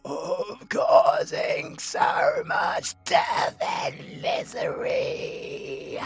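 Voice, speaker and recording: Anguished high-pitched British zombie husband, crying. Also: male voice, wildly swooping pitch, very slow, vocal fry, full character voice. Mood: tearful remorse. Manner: anguished, melodramatic pleading in broken shouts. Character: tormented undead zombie husband, loving but starving. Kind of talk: horror audio roleplay acting.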